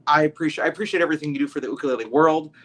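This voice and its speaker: A man's voice: fairly high pitch, rapid-fire, fairly bright tone. A man hosting a podcast, a music educator, talking in a loose, rambling way with many restarts. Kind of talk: casual conversation.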